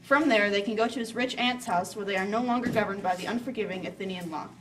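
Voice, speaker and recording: A woman's voice: medium pitch, fast talker, a bit bright. A woman narrating a story from a script, with playful asides. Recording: slightly distant, faint background noise.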